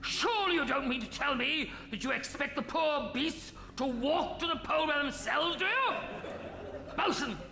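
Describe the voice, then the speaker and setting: An actor with an upper-class English accent playing a pompous, blustering polar expedition captain. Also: male voice, very high voice, expressive, moderate pace, sharp tone, big-room sound, a little hiss or hum, exaggerated voice, low-quality recording.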